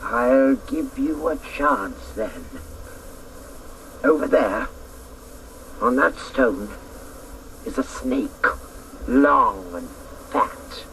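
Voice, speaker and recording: Creaky, vengeful old woman. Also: a bass voice, expressive, fairly bright tone, a little hiss or hum, exaggerated voice, rough recording. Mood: menacing, commanding. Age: older. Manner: taunting, imperious, drawn-out theatrical delivery. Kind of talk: radio drama acting.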